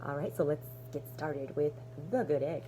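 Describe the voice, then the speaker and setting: A woman teacher reading to young children in an expressive, deliberate storytelling manner. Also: low-pitched, music in the background. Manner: animated, deliberate storytelling with hushed, breathy asides. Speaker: kindergarten teacher. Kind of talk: expressive children's storybook read-aloud with asides.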